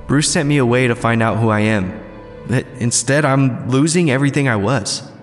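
A man's voice: medium pitch, normal speed, close to an everyday voice. An actor playing a restless, disillusioned young male college student in an audio drama, sardonic yet earnest. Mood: downcast. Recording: long reverb, faint music behind.

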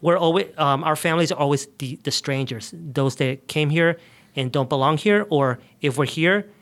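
A man from the United States speaking as an interview guest, explaining things earnestly in conversational, somewhat halting phrases. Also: on the high side, a bit bright. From United States, grew up in New York and the South. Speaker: immigration justice advocate, interview guest.

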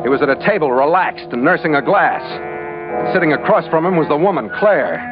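An actor playing a tough, wry American ship captain in a hardboiled 1940s radio adventure, clipped and wisecracking. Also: male voice, fairly high pitch, expressive, fairly quick, close to an everyday voice. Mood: terse, hardboiled narrating. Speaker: lead actor and narrator. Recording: muffled vintage radio recording with music.

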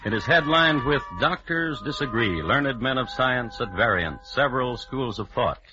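A man playing a dry, formal crime historian, narrating in deadpan 1950s radio style. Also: mid-range voice, normal speed, music in the background, close to an everyday voice. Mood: dry, precise. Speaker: narrator and host. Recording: muffled vintage radio broadcast recording.

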